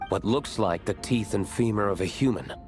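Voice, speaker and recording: A man's voice: fairly low pitch, moderate pace, a little mellow, faint music behind, close to an everyday voice. A man playing a stern, reserved nobleman in a video game, testifying in a serious, curt manner. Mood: curt, accusing. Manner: serious, matter-of-fact, curt.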